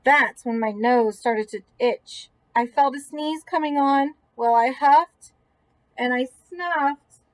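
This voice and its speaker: A woman's voice: high voice, takes it slowly, poor audio. A woman voicing an indignant male wolf who tells his side of a children's storybook tale in a folksy, mock-innocent way. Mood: self-excusing. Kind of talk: children's picture book read-aloud.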